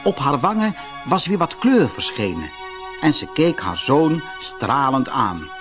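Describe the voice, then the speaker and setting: A man narrating a scripted fairy tale as an expressive audiobook storyteller. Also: medium pitch, measured pace. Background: music.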